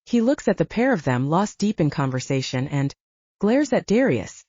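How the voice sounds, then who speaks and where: A woman's voice: on the low side, big pitch swings, normal speed, warm tone, dry recording. A woman reading scripted, dramatic story narration as an audiobook narrator. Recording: dull, lo-fi sound.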